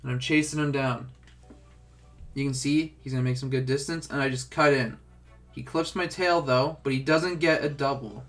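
A man's voice: mid-range voice, fairly even intonation, normal speed, faint music behind. A young man with a stuffed-up, congested voice, casually talking through a game tutorial with lots of filler words. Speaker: gaming tutorial host.

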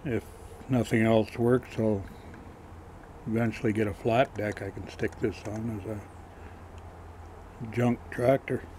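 A man showing his collector's finds in a casual, matter-of-fact way, pausing as he handles each piece, recorded on a camera mic. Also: fairly low pitch, fairly even intonation, mellow, a little hiss or hum. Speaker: toy collector showing flea market finds. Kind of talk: casual show-and-tell narration.

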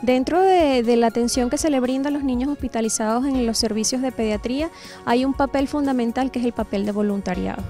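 A fairly high, very soft-edged woman's voice with a Venezuelan accent. She gives an interview statement into a handheld mic outdoors, with faint music behind her. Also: a little fast. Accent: Venezuelan Spanish. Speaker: academic advisor, interviewee. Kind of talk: prepared interview monologue to camera. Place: outdoors, in a garden courtyard. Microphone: handheld microphone close to the mouth.

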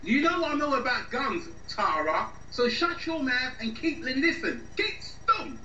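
A distant, muffled recording carries a man acting a petulant British boyfriend in a radio drama. His voice is strikingly high as he grumbles and defends his idle life in colloquial speech. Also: very animated, unhurried, bright, forceful tone, echoey room, slight background noise, heavily acted. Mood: defensive. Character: unemployed, whiny, defensive boyfriend, cheeky layabout.

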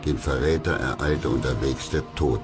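A man reading scripted documentary narration in a dramatic, measured storytelling manner. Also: extremely low pitch. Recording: loud music under the voice. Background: music.

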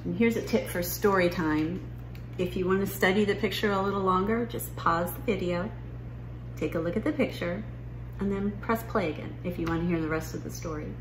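A woman's voice: lowish voice, brisk. A middle-aged woman talking to children as an educational story time presenter, recorded indoors in a living room. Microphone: camera a few feet away. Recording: roomy room sound, faint noise.

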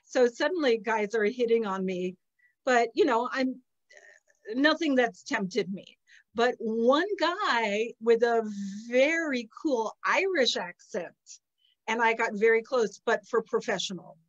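A middle-aged woman chats over a webcam from an outdoor terrace, a touch sniffly, her fairly high voice sounding muffled and lo-fi. She tells stories with lots of laughter and lively energy. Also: measured pace. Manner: chatty, laughs often, animated storytelling. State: a little sniffly. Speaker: psychic channel host. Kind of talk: casual video call chat. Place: outdoor terrace with potted plants. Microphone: laptop webcam on a video call.

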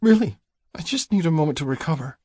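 Guy doing a scared young mom for an audiobook, pitched way up, trembly and breathy, soft hearted. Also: animated, quick pace, no room sound, studio quality. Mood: shaken, reassuring. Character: frightened young mother, shaky but compassionate woman. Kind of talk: audiobook character dialogue.

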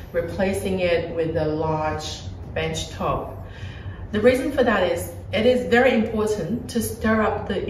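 A woman gives a casual, explanatory tour of a renovated kitchen, pointing things out as she goes. Her voice sits a little low and carries from a few feet off the phone mic. The bare room adds a noticeable echo. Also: recorded from a distance, a little hiss or hum. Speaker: property flipper showing a renovation. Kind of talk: casual home tour walkthrough. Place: bright empty renovated kitchen, indoors. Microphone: phone camera held a few feet away. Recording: echoey room, voice a bit off-mic.

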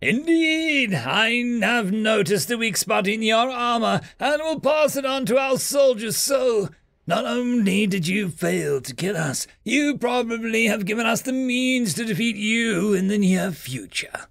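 A man voicing a gloating tentacled male alien commander who shouts his taunts slowly and shrilly. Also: sits high, animated, vocal fry, voice pushed up, full character voice.

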